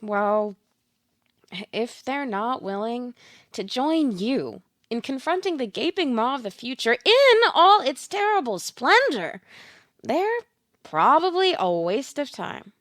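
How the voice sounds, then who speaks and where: A woman's voice: sits high, sing-song, slow, fairly bright tone, no echo, studio quality, full character voice. A woman playing a blunt, slyly coaxing tarot reader in an audio play, with a theatrical manner and pet names for her client. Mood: grave, persuasive. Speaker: actor.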